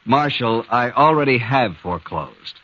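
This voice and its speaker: An actor playing a cold, wealthy moneylender in a 1950s radio western, speaking in a curt, haughty, formal way. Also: male voice, medium pitch, animated, a little slow, fairly bright tone. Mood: haughty, stern. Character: cold, wealthy moneylender demanding a farmer's eviction. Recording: dull vintage radio recording.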